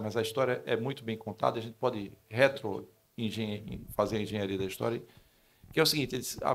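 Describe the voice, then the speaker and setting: A man's voice: medium pitch, vocal fry. A man speaking as an advertising panelist, telling a story in a live discussion. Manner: storytelling, conversational. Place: stage at a public event.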